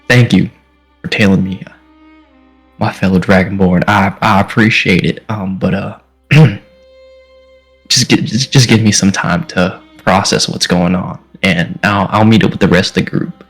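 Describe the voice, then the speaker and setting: A man playing a tabletop game, speaking his character in a halting, hesitant way. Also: lowish voice, steady tone, slightly rough, faint music behind. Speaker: player.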